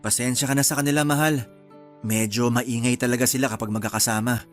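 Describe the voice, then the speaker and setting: Radio drama husband, medium pitch, Filipino accent, soothing, loving, a little weary; male actor. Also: steady tone, quick pace, faint music behind, close to an everyday voice. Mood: calm, apologetic. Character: tired, affectionate, calm young husband in radio drama.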